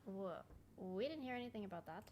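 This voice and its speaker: A woman records casual gameplay commentary in a lively, widely varying voice. Her reactions are short and slangy, and the mic picks up clear noise and clicks. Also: medium pitch, fairly quick. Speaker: gaming video creator. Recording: noisy mic with clicks over game audio.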